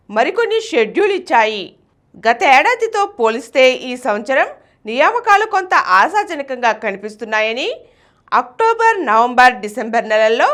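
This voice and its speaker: A woman's voice: high voice, fairly bright tone. A woman reading scripted news bulletins as a news reader.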